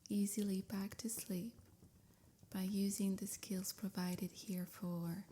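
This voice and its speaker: A woman softly guiding a sleep meditation in a breathy near-whisper, with soothing, measured phrasing. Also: mid-range voice, little pitch movement, a little hiss or hum. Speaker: meditation guide. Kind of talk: guided sleep meditation, scripted.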